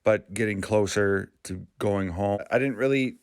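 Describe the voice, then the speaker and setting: Low gravelly-voiced man rambling casually. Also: no room sound, studio quality. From Maine, USA. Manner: rambling, halting, frequent restarts. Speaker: YouTube channel host. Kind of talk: casual vlog commentary.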